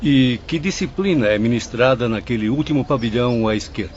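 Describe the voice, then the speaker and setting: A man's voice: mid-range voice, brisk, darkish tone, close to an everyday voice. A man acting an astonished, inquisitive spirit professor newly arrived, in an audio drama. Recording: dull lo-fi audio, faint noisy ambience.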